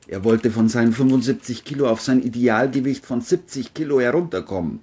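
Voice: mid-range voice, mellow, slightly rough. A narrator reading a scripted crime audio drama, telling the story between the characters' lines.

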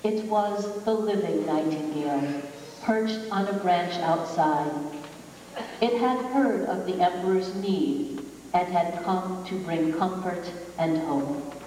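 A woman narrating a scripted fairy tale in a measured, dramatic storytelling manner. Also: fairly low pitch, dark tone. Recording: very echoey hall, distant, faint noise.

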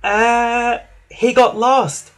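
Man doing a high, earnest lady steam engine. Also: little pitch movement, very slow, a bit bright, exaggerated voice. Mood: anxious. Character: proud, fretful young female steam engine. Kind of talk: children's storybook character voice.

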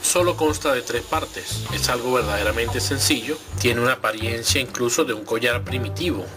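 A man's voice: mid-range voice, normal speed. Man explaining survival gear over music. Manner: clear, explanatory presenter tone. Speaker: survival gear channel host. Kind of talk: product review vlog. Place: outdoors in a forest. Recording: music playing under the voice.

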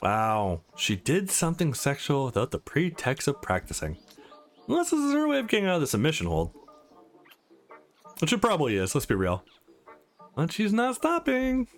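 A man's voice: a little high, huge pitch swings, normal speed, pauses often, faint music behind. A man reading a visual novel aloud as a let's play host, acting out dialogue playfully and adding asides.

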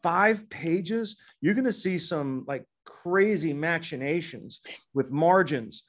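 A man in his fifties co-hosting a casual sports law podcast from a hotel room, talking in a chatty, digressive way and getting animated when he jokes. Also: on the high side, takes it slowly. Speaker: podcast co-host, sports lawyer. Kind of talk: casual legal podcast chat. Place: hotel room in Midtown Manhattan. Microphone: laptop webcam video call.

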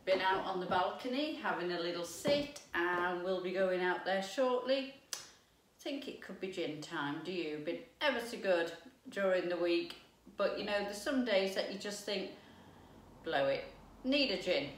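A woman in her fifties chatting excitedly to camera while cooking in her home kitchen. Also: on the low side, a little slow. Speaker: lifestyle vlogger. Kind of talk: casual chat to camera. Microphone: camera's built-in mic from a few steps away. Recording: echoey room, distant mic, background noise.

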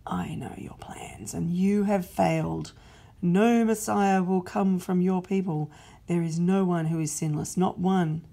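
A woman voicing Satan, a cunning fallen angel who sneers and turns shrill and desperate. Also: fairly even intonation, a little slow, creaky, warm tone. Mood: sneering.

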